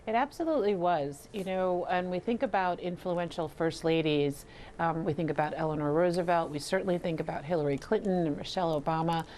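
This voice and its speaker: A woman's voice: fairly low pitch, a little fast, a little mellow, slight background noise. A woman filmmaker giving explanatory answers as a guest in an interview.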